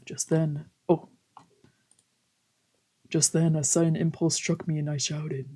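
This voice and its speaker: A woman streamer reading a visual novel aloud with casual, excitable, sweary reactions. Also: sits low, slow pace, husky. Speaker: let's play streamer.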